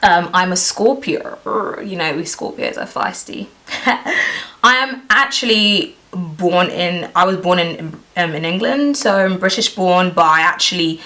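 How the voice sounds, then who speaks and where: A woman in her twenties, raised in Nigeria, chatting casually to camera about herself, full of "like" and "you know", often trailing off quietly. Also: on the low side, unhurried. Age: 20s. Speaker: beauty vlogger.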